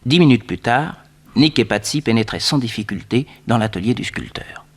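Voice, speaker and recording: An actor narrating a 1960s radio detective serial as a measured storyteller. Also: male voice, medium pitch, animated.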